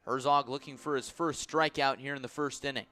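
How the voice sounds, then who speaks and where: A man's voice: medium pitch, steady tone, a little fast, sharp tone, soft background music. A man doing live baseball play-by-play commentary for a radio broadcast.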